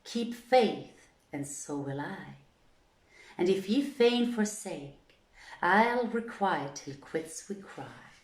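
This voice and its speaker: An echoing room carries the chant of a solemn enchanted fish as a woman reader plays it. The storybook creature recites in slow, measured verse with a rhythmic swell and fall. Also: on the low side, lively intonation. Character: enchanted fishes reciting a solemn rhyme in unison.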